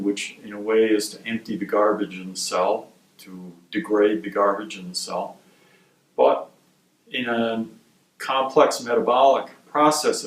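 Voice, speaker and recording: A man from Wisconsin who directs a research institute, giving measured, explanatory interview answers. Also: on the low side. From Wisconsin, USA. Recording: roomy sound from a distant mic.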